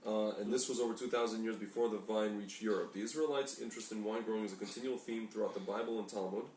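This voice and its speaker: A man's voice: fairly low pitch, steady tone, fast, darkish tone. A man co-hosting a casual talk show, reading aloud from documents and often stumbling and correcting himself. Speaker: podcast co-host. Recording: echoey room, distant mic, faint noise.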